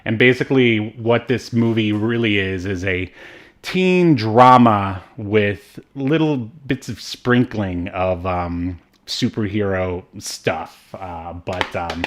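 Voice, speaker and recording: A man co-hosting a casual film discussion, talking in a halting, rambling way. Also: fairly low pitch. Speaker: podcast co-host reviewing films.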